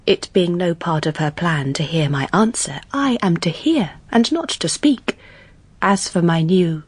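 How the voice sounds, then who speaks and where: An actress playing an earnest, determined young English governess in a period audio drama, with an English accent. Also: female voice, fairly low pitch, expressive, a little slow, slightly rough, dry recording. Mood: wry. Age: young adult.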